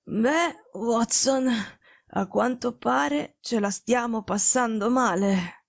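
A woman voicing a gravely ill, imperious male detective in an audiobook, weak, hoarse and halting. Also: fairly high pitch, animated, no echo, clean studio sound, full character voice. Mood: weak, wry. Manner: curt, commanding, halting.

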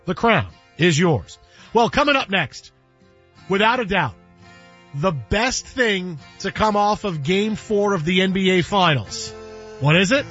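Man, high voice, husky, sports talk host, banter and ad reads, faint music, narrow radio band. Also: lively intonation, a little slow, fairly bright tone.

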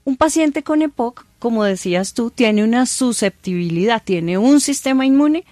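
Calm woman doctor explaining. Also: fairly high pitch, moderate pace, dry recording, studio quality. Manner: calm, patient, explains plainly with everyday examples. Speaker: doctor, guest expert. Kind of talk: explanatory interview talk.

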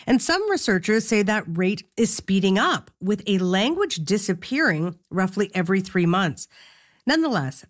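A woman radio host reading scripted narration in a measured, clear delivery from a studio microphone. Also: mid-range voice.

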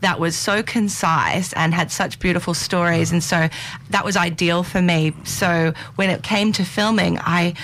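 A woman's voice: lowish voice, steady tone, a little slow, fairly bright tone, a little hiss or hum. A middle-aged woman, a documentary filmmaker, telling stories in an interview. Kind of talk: interview conversation.

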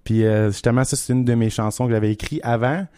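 Male Québécois singer-songwriter, mid-pitched, informal stop-and-start delivery, dry studio-like sound. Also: fairly even intonation, warm tone, smooth, no echo. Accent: Québécois French. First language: French. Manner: informal, halting, repeats words. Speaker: country singer-songwriter being interviewed. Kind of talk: casual interview chat.

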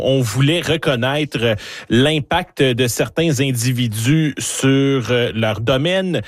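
A man's voice: mid-range voice, dry recording, studio quality. A man interviewing a guest on the radio, asking long questions with fillers.

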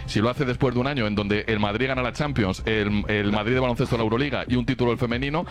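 A man's voice: medium pitch, quick pace, faint music behind. A man with a Castilian Spanish accent, a sports journalist talking colloquially in an unscripted football debate. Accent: Castilian Spanish from Spain.

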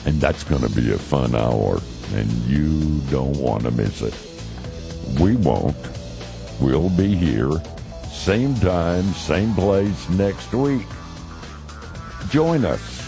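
A male talk radio host with an extremely deep, gravelly voice. He talks slowly and deliberately in a folksy, conversational way, his pitch moving freely for emphasis. The sound is close and dry. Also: big pitch swings, music in the background.

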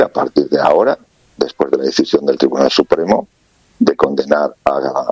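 A man's voice: very deep voice, darkish tone, breathy edge, no room sound, dull audio. A man from Spain with a Spanish accent, speaking as a guest commentator in an earnest way, restarting phrases with fillers. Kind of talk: remote interview commentary.

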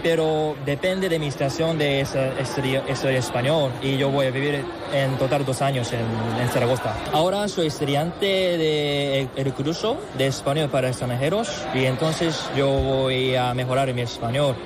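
A Japanese student whose first language is Japanese, speaking in a halting, learner's way with restarts. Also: male voice, mid-range voice, steady tone, background noise. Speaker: foreign student. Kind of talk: interview clip.

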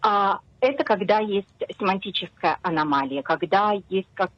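A middle-aged woman scientist explaining her research patiently on a radio interview, often pausing on hesitations. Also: medium pitch, no echo. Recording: dull dry radio studio sound.

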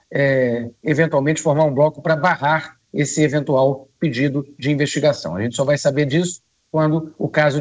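A man with a cold giving measured radio news commentary, pausing often with hesitations. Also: fairly high pitch, highs cut off. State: hoarse from a cold. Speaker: political commentator.

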